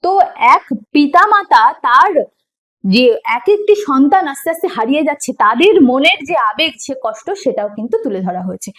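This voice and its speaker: A woman's voice: high voice, big pitch swings, brisk, fairly bright tone. A woman teacher giving an explanatory online lesson in a didactic way, posing questions and answering them herself, and repeating key points. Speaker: teacher of an exam preparation course.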